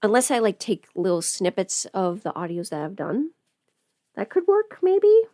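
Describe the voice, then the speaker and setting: A woman's voice: medium pitch, huge pitch swings, moderate pace, dark tone, no echo. A woman chatting casually on a livestream while under the weather, thinking aloud as she does her makeup. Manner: chatty, rambling, thinking aloud, sometimes playful. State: sick with a cold or illness. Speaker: livestream host and audio performer.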